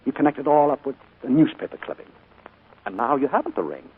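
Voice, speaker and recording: A man's voice: medium pitch, big pitch swings, quick pace, breathy edge. A man playing a charming but sinister middle-aged uncle in a 1940s radio drama, his coaxing voice carrying a menacing undertone. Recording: narrow 1940s radio broadcast.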